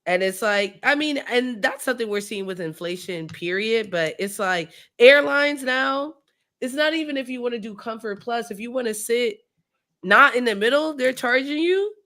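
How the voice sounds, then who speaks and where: A woman's voice: a little high, lively intonation, normal speed, sharp tone. Casual, slangy woman chatting on a stream. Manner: informal, slangy. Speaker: streamer co-hosting a Lego build. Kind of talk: casual chat while building.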